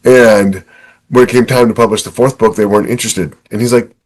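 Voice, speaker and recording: Man, lowish voice, casual podcast co-host, anecdotal, slightly halting. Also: steady tone.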